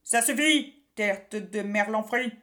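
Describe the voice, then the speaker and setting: A woman voicing a boastful, scolding witch from a children's story, with drawn-out taunts and silly insults. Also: medium pitch, fairly bright tone. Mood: furious, scornful.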